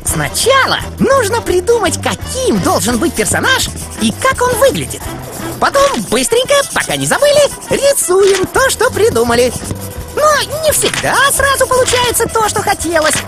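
A woman voicing a small, excitable male cartoon rabbit who cheerfully explains things to children in an expressive, theatrical way. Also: very high-pitched, moderate pace, loud music behind the voice, exaggerated voice. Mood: cheerful, explaining.

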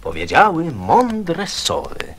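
A man narrates children's poetry with great swings of intonation. His delivery is slow and theatrical, over faint hiss on an old radio recording. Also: a little high, wildly swooping pitch, fairly bright tone. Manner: theatrical, storytelling. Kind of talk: dramatised poem narration.

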